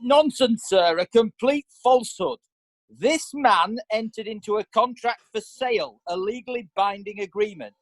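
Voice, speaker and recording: A man's voice: high voice, normal speed, no echo. A man playing an indignant medieval lawyer who argues in a pompous, theatrical way. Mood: scornful. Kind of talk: amateur radio drama.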